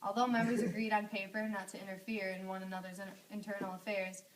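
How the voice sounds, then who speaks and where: A woman's voice: medium pitch, little pitch movement, fast talker, slight background noise. A young woman reading a scripted amateur news report. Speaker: news reporter in a history project. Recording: distant microphone.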